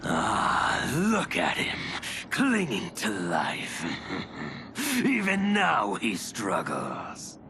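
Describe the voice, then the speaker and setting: A gleeful, bloodthirsty game villain, theatrical and gloating. He draws his words out slowly with big swings in pitch. Loud ambient noise sits behind him. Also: huge pitch swings, full character voice. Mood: gloating relish. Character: gleeful bloodthirsty male villain lord savoring chaos.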